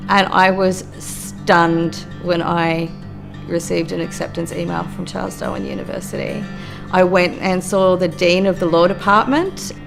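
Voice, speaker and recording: Gravelly low-voiced Australian woman. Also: measured pace. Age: middle-aged. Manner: earnest, reflective, steady. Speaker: youth worker and law graduate. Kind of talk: personal testimony for a documentary. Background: soft music.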